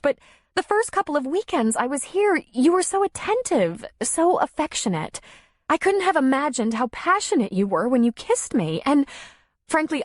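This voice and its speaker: A woman's voice: no echo, studio quality, exaggerated voice. Woman voicing a tearful, demanding woman. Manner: high, tearful, insistent. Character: hurt, demanding woman pleading with her lover.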